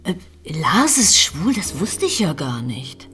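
A woman's voice: lowish voice, sing-song, slow pace, fairly bright tone, faint music behind, full character voice. An actress playing a middle-aged police inspector and mother in a radio drama, direct and insistent in her questioning. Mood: surprised, amused. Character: probing female police inspector, mother of grown son. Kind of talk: naturalistic German radio drama acting.

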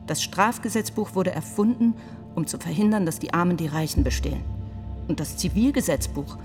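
Radio play: actress as indignant woman defence lawyer, low register, sharp and rhetorical, faint music beneath. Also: animated, brisk, natural voice. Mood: biting, indignant. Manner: rhetorical, pointed, argumentative. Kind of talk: radio play courtroom plea. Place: studio.

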